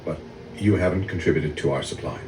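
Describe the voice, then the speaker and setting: A man voicing a cold, dismissive Egyptian official in an animated film. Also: sits low, a little fast, noisy background, natural voice. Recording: roomy, distant film audio under music.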